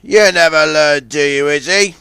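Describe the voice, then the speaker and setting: A man voicing a blustering tugboat gang leader with a sneering, shouting manner in a children's story. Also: a little high, moderate pace, gravelly, clean tone, no room sound, studio quality. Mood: sneering, bullying.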